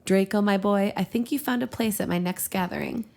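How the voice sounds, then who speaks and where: A woman reading the part of a genial, knowing older male professor in a story, with measured, formal, approving speech. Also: mid-range voice, darkish tone, close to an everyday voice. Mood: appraising.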